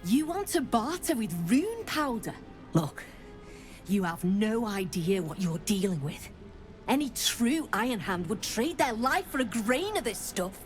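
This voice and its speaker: Blunt, defiant gnome rebel, higher-pitched. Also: female voice, sing-song, unhurried, soft background music. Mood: urgent bargaining. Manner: blunt, combative, cynical. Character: defiant, foul-mouthed gnome woman freedom fighter. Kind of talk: video game voice acting.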